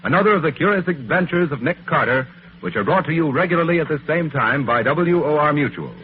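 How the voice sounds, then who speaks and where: A man's voice: on the high side, animated, quick pace. Tinny vintage male radio announcer. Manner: formal, theatrical. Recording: tinny, faintly noisy 1940s broadcast.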